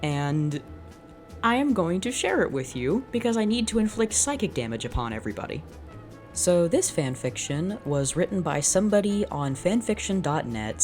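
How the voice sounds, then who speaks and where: Very deep-voiced sardonic woman. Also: darkish tone. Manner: sardonic, dramatic. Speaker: channel host reading fanfiction. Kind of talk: unscripted reading with live reactions.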